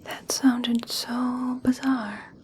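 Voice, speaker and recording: A woman playing a gentle, caring, curious young elf princess in a whispered, soothing ASMR personal attention roleplay. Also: on the high side, steady tone, slight background noise. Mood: gently curious. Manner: soft, slow, soothing whisper.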